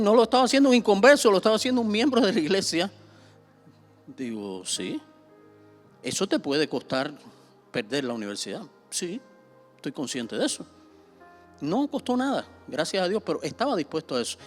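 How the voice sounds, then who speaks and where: Middle-aged Cuban male pastor, slightly high voice, chatty teaching with fillers, faint music underneath. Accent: Cuban Spanish. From Cuba. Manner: conversational, with many fillers and repetitions; rises sharply when stressing a point. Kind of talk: Bible seminar teaching.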